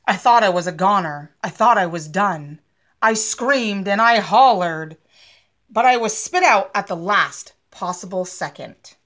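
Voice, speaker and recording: A woman's voice: mid-range voice, animated, measured pace, a bit bright. A woman voicing a gloomy, reforming bad seed from a storybook, telling his story dramatically for children. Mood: dramatic recalling. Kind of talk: children's picture book read-aloud.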